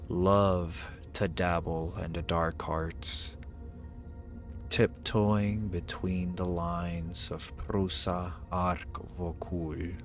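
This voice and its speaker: An ancient, proud dragon acted by a man speaks in a deep voice at an extremely slow, measured pace. The phrasing is archaic, formal and imperious, delivered as a theatrical ASMR roleplay monologue with music clearly playing behind it. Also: exaggerated voice. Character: ancient, proud male dragon summoned as a familiar.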